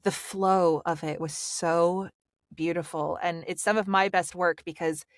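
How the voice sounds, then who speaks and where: An American woman from the Pacific Northwest talks animatedly as a podcast guest, her voice sitting a little low. She is chatty and quick to add fillers. The recording is close and dry. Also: no echo. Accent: General American, Pacific Northwest. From Pacific Northwest, USA. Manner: animated and talkative, many fillers. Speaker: audiobook narrator guest. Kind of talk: podcast interview chat.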